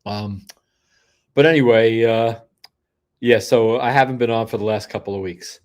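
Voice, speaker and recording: A man's voice: medium pitch, normal speed. An older man hosting a solo livestream, rambling through casual commentary with frequent restarts and asides, sniffly from a cold. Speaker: host.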